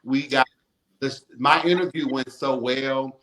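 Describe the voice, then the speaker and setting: A man in his forties with a strong baritone voice, a radio host speaking as an interview guest in an emphatic, animated way. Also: unhurried, rough recording. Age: 40s. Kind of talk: casual interview conversation.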